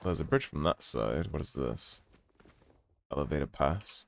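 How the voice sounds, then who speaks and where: A man with a slightly croaky voice from a sore throat, commentating his gameplay in a casual, wry way. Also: extremely low pitch, unhurried. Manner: relaxed, wry, self-mocking. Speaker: let's play host.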